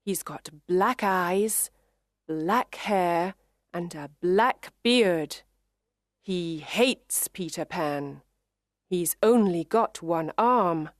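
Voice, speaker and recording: A woman reading a children's story aloud in clear, measured narration for English learners. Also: on the low side, no echo, studio quality.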